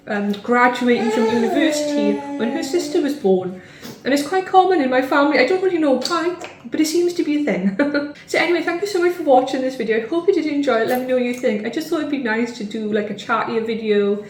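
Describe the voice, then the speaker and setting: A woman's voice: on the high side, reverberant, a little hiss or hum. Chatty Welsh woman vlogging. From Wales. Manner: chatty, rambling, lots of fillers and little laughs. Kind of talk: casual chat to camera.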